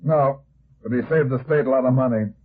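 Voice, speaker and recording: An actor playing a wry, hard-boiled, heavyset private detective, acting and narrating a 1950s radio drama on a muffled vintage recording. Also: male voice, medium pitch, brisk, lightly acted. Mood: dry, wry.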